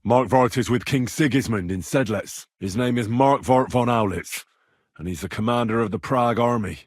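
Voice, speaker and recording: A man's voice: on the low side, husky, dry recording, studio quality. An actor playing an earnest, stubborn young medieval man in a video game, speaking in a direct, persuasive way. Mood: serious. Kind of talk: acted video game dialogue lines.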